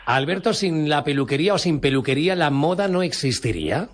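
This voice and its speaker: A man's voice: fairly high pitch, lively intonation, a little fast. Spanish male radio presenter interviewing. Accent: Spanish from Spain. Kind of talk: live promotional radio interview.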